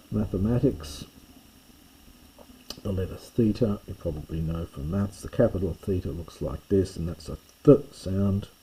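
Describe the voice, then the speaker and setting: A man's voice: low-pitched, warm tone, slightly rough, low-quality recording. A man teaching a lesson, plainly explaining with fillers and stressing each new letter name. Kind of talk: classroom lesson on a whiteboard.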